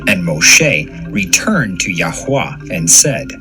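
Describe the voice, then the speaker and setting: Male narrator, fairly low pitch, lively intonation, scripted scripture reading, music underneath. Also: slow.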